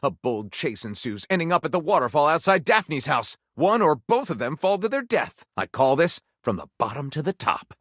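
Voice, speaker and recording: A theatrical male storyteller from a video game, narrating with wry relish. His slightly high voice moves through wide, animated swings of pitch. He lingers on titles with dramatic pauses, in a dry studio-clean sound. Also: no room sound.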